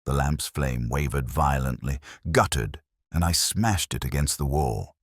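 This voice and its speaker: A man's voice: rumbling low, unhurried, no room sound, clean studio sound. A man giving a scripted mystery narration in a grave, dramatic, suspenseful manner. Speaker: story narrator.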